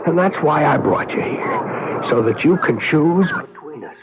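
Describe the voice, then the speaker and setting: An actor playing a jealous, pleading new husband with urgent, theatrical delivery in a 1970s radio drama. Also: male voice, fairly high pitch, lively intonation, a little slow. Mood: jealous, accusing. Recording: dull, noisy old radio recording.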